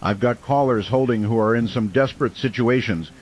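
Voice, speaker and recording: A male actor plays a small-town radio host broadcasting through a disaster. His medium-pitched delivery is grave and urgent, like an emergency announcer, with a faint rain-like noise around it. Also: a little fast, a little mellow, natural voice. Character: steady small-town American male late-night radio host during werewolf siege. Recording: noisy, with rain-like hiss behind.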